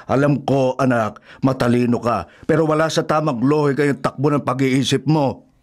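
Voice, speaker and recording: A man playing a sensible older father in a radio drama, reasoning in a measured, plain-spoken way. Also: medium pitch, over loud music, lightly acted.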